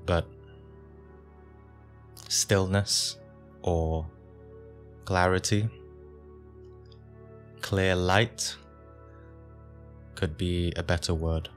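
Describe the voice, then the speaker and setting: A man leading a guided meditation as a teacher, speaking calmly in short phrases with long pauses. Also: low-pitched, soft background music.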